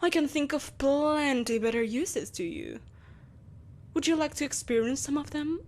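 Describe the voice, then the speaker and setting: A woman playing a vengeful, possessive empress in an audio roleplay, speaking in a haughty, theatrical and deliberately menacing way. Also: high-pitched, darkish tone, natural voice. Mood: smug, coercive. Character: vengeful, possessive evil empress, soulless, imperious, coaxing a captive. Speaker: voice actor. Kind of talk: scripted audio roleplay acting.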